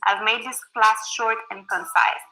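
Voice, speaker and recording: A woman with a French Canadian accent, a course instructor presenting a scripted promo in an earnest, measured way. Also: a little high, fairly even intonation, bright, forceful tone, low-quality recording.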